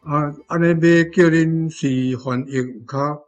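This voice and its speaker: Male language teacher, Taiwanese accent, hesitant and slow, remote link with faint music behind. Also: on the high side, fairly even intonation. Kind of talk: unscripted explanation. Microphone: remote online connection.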